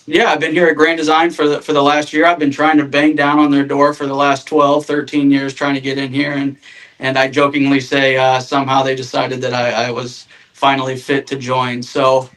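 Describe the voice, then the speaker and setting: A man's voice: mid-range voice, monotone, moderate pace, a bit bright, rough recording. A man in his thirties from Northern Indiana, a podcast guest talking casually and self-deprecatingly, with many fillers and restarts. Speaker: guest, RV sales manager.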